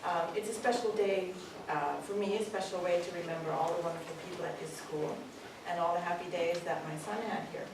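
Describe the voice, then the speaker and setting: A woman's voice: fairly low pitch, fairly even intonation, brisk, few pauses. Canadian woman speaking in an echoey hall. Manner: warm, joking, with frequent ums. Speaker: parent giving a speech. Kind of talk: graduation speech. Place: school hall with pupils. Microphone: distant camera. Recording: echoey hall from far off, room noise.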